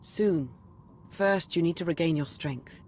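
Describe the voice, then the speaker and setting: An actress playing a grave, calculating adviser in a video game, formal and deliberate. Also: female voice, on the low side, darkish tone, slight background noise, natural voice. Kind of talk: cinematic video game voice acting.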